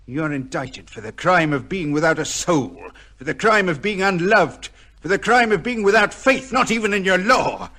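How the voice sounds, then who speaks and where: An actor playing a cold, ruthless, vengeful son with a theatrical, taunting manner in an old-time radio drama. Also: male voice, sits high, expressive, normal speed, pushed and bright, heavily acted. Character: bitter, vengeful illegitimate son, cold, ruthless, with heart trouble.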